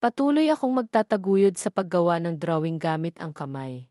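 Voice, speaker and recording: A woman voicing an earnest, tender male comic artist in an audio drama, speaking in a formal, measured way. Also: lowish voice, mellow, no room sound, studio quality, voice pushed down, lightly acted.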